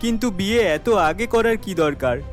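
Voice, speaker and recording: A man's voice: sits high, animated, moderate pace, faint music behind. A man voicing a polite, placating young village boyfriend in a cartoon, with earnest delivery. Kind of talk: animated cartoon acting.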